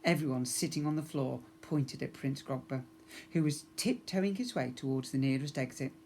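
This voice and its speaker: A man's voice: mid-range voice, moderate pace, a little mellow, a little hiss or hum. A man narrating a children's story expressively, as a teacher reading to young pupils.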